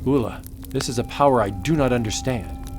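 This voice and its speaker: A man voicing an excitable, determined explorer anthropologist in a melodramatic comic book audiobook. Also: mid-range voice, brisk, over music, close to an everyday voice.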